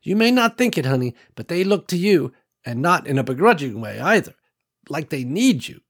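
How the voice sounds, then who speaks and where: A man's voice: on the high side, big pitch swings, normal speed, pitched above the performer's own voice. A man doing a folksy old farm woman. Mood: gentle. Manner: gentle folksy drawl with endearments. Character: warm elderly woman farmer, folksy and wise.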